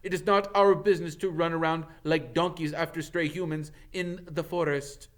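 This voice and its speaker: A man voicing a proud, stern centaur in a storybook, loud, scornful and declamatory. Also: on the high side, steady tone, normal speed, voice pushed up, lightly acted. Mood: indignant. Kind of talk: dramatic audiobook character reading.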